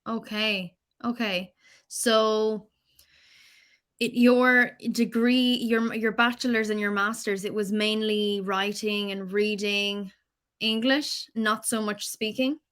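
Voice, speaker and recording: A woman's voice: medium pitch, fairly even intonation, slow pace, fairly bright tone. A woman from Ireland, tutoring English in a patient, encouraging way and asking follow-up questions. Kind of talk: online tutoring conversation.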